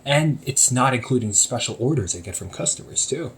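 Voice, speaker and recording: A man's voice: medium pitch, animated, brisk. A young man playing an easygoing shop owner talking to his sibling in a one-sided audio roleplay, teasing and laughing often. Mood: defensive.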